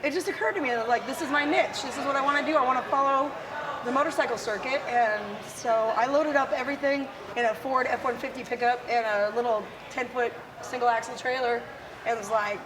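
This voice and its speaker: A woman from South Dakota with a gravelly, raspy voice, chatting casually and laughing now and then as an interview guest. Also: high voice, fairly quick, background noise. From South Dakota, USA. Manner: chatty and self-deprecating, laughs often. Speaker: musician guest. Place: outdoors at a windy motorcycle expo.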